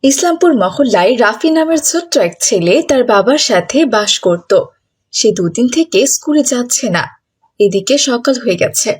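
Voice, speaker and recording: Lady reading a story as narrator, highish voice, bit husky. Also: expressive, normal speed.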